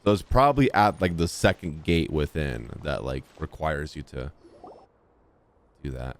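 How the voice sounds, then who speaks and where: A man's voice: extremely low pitch, measured pace, slight background noise. A man giving casual, enthusiastic, slangy gameplay commentary as a streamer. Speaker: game streamer. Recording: game music behind voice. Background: game music.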